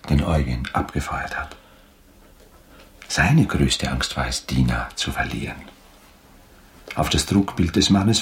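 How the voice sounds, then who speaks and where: An actor playing a haunted, brooding Austrian baron and officer in a radio drama, speaking intensely in halting phrases. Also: male voice, a bass voice, exaggerated voice. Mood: intense, urgent.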